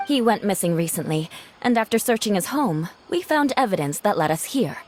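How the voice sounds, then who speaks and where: A woman's voice: mid-range voice, very animated, brisk, darkish tone, a little hiss or hum, close to an everyday voice. A woman playing a proud, cool, dutiful noblewoman knight in a video game, with a formal, haughty manner. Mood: serious. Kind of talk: video game cutscene acting.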